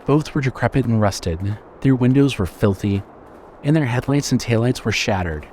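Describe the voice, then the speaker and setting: A man's voice: mid-range voice, animated, normal speed, a little mellow, a little hiss or hum. A man reading scripted horror fiction as the narrator of an audio drama.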